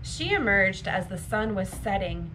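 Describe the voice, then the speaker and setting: A woman reading a fairy tale aloud for children in an expressive, deliberate storytime manner. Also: medium pitch, noisy background. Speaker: library storytime reader.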